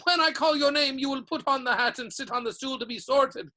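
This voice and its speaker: A reader voicing a stern, formal woman professor who makes crisp, commanding announcements in an audiobook. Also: high voice, fairly quick, sharp tone, voice pushed up. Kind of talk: audiobook character voice.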